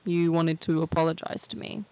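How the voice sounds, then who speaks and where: Girl voice actor doing hurt teen girl in a game drama, creaky voice, emphatic, super dry close sound. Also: deep voice, fairly even intonation, normal speed, darkish tone, dry recording. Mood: hurt, accusing. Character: hurt, unforgiving teenage schoolgirl standing up to former friend. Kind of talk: acted dialogue and narration for an amateur voiced game series.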